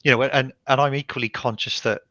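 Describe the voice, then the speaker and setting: A man's voice: medium pitch, fairly even intonation, brisk, pushed and bright, no room sound, lo-fi sound. A man with a Welsh accent from Barry in South Wales, an author explaining his choices in a reflective, restarting way over online call audio. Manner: reflective, with frequent restarts and ums. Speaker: author being interviewed. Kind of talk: thoughtful conversational explanation.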